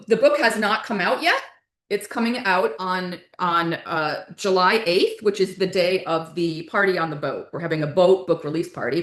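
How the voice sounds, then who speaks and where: A woman's voice: medium pitch, a bit bright. A woman speaking casually as an interview guest, explaining her creative work in a rambling way that backtracks mid-sentence. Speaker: guest, author and playwright. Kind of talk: casual interview talk about own work. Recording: roomy, echoey indoor sound.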